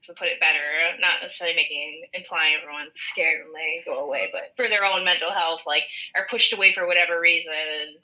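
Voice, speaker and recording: A woman's voice: low-pitched, fairly even intonation, fairly quick, few pauses, pushed and bright, low-quality recording. A woman in her twenties with a Minnesotan accent, chatting casually and enthusiastically on a podcast in a rambling way, recorded over an internet call. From rural western Minnesota, USA. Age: 20s. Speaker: podcast co-host.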